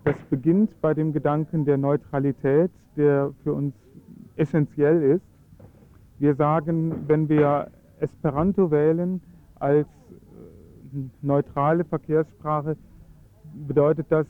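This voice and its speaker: A man's voice: a little high, phone-quality sound. A man speaking German as his first language, earnestly explaining his views in a radio interview with frequent hesitations. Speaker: Esperanto advocate.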